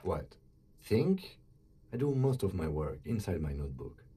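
An actor playing a composed police lieutenant in a detective game, husky and restrained, with a dry, matter-of-fact manner. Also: male voice, low voice, sing-song, unhurried, warm tone, full character voice. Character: composed, dry male police lieutenant, bookish, quietly authoritative.

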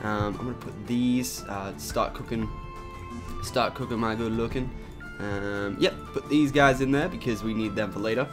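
A young man with an Australian accent, his voice raspy from just waking up, chatting casually over gameplay with lots of fillers. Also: fairly low pitch, normal speed, over music. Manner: chatty, rambling with many "like" and "um" fillers. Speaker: gaming YouTuber.

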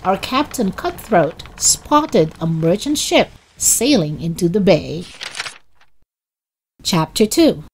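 A woman reading a children's chapter book aloud as narrator, expressive, dramatic and deliberate. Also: fairly low pitch, slight background noise, poor audio. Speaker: children's story reader. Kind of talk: expressive scripted storybook narration.

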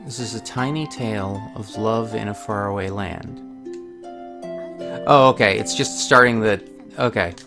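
A man's voice: fairly low pitch, measured pace, over music. A man hosting a video game playthrough, commenting on the game and reading its story text aloud. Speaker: let's play host.

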